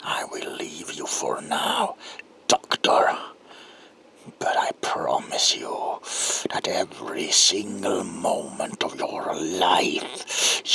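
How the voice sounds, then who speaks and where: Evil victorian monster man for horror asmr, raspy whisper thats sharp and hissy, really slow n sadistic. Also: low voice, exaggerated voice. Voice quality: whispery, creaky, breathy rasp. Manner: menacing, slow, theatrical, swinging from whispers to outbursts. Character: sadistic, gloating monstrous alter ego, male Victorian villain.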